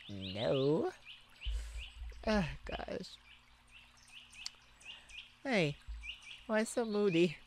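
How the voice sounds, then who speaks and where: Woman acting as a kind shy mage in asmr roleplay, slow n stammery, birdsong behind her. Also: sits low, a little hiss or hum. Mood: teasing, cautious. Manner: hesitant, stammering, soft-hearted. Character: kind, flustered, protective young mage, shy childhood friend. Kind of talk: ASMR romantic roleplay audio drama. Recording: steady outdoor birdsong ambience behind voice.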